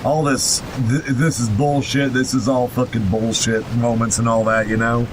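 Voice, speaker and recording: A man's voice: level tone, normal speed. A man co-hosting a podcast, reading and picking apart a horror story in a casual, exasperated, sweary way. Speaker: podcast co-host. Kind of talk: casual comic commentary on a horror story read aloud. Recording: loud low rumbling background noise.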